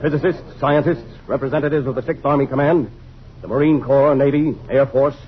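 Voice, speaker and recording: An actor playing a formal, commanding, grave army general in an old-time radio drama. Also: male voice, mid-range voice, steady tone, fairly quick, slightly rough, lightly acted. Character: authoritative male army general briefing officials gravely. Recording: dull vintage radio recording, faint noise, crowd.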